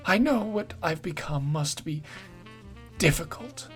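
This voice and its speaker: A man's voice: a little high, expressive, measured pace, faint music behind, voice pushed up. A man voicing a tormented old homeless woman, strained and erratic, swinging between pleading and shrieking.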